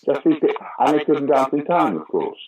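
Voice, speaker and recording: A man's voice: fairly high pitch, sing-song, moderate pace. An older man, an actor and pantomime director, chatting through a casual interview and telling anecdotes with acted-out quoted voices. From Britain. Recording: dull lo-fi audio with faint noise.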